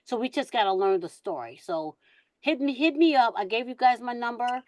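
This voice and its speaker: A woman's voice: on the high side, expressive, moderate pace, a little mellow, dry recording. A woman hosting a casual online group discussion in an informal, colloquial way.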